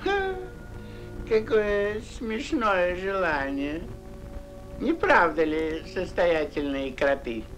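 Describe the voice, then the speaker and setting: A man's voice: high-pitched, big pitch swings, slow pace, fairly bright tone, exaggerated voice, poor audio. An actor playing a pompous, self-important rich mole bridegroom in a classic cartoon, drawing out his words theatrically. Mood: smug, mocking. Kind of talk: classic Soviet cartoon voice acting. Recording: old cartoon soundtrack, faint music.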